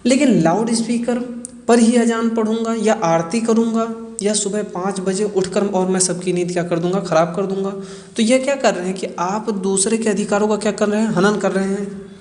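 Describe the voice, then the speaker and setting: A man's voice: high-pitched, fairly even intonation, normal speed, darkish tone. Echoey man explaining like a teacher. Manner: didactic, asks rhetorical questions and answers them. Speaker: educational explainer presenter. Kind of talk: explanatory lecture on current affairs. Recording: echoey reverberant room.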